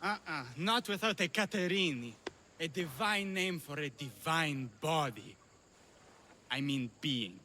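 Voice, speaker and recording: An actor playing a drunk, lovesick, theatrical stage performer in a video game cutscene, with a flamboyant, tipsy manner. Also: male voice, fairly high pitch, animated, a little slow, bright, forceful tone, a little hiss or hum. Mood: lovesick, stubborn.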